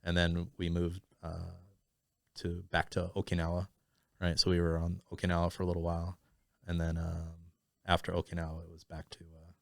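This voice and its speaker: A man's voice: low-pitched, monotone, fairly quick, creaky, dry recording, clean studio sound. A man from Thailand, raised in Arizona, telling stories as a podcast guest in a casual, rambling way with many fillers and restarts. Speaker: podcast guest, business owner. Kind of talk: casual conversational storytelling.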